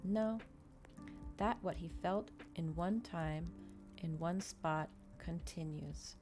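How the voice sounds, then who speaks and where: A woman reading a novel aloud in a soft, measured ASMR style, close to the microphone. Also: lowish voice, over music.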